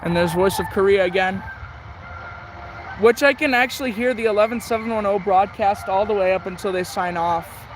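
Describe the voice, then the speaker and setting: A man with a notably high voice gives casual, rambling hobbyist commentary. He thinks out loud in a chatty way as he works a radio dial. There is faint noise behind him. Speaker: shortwave radio hobbyist host.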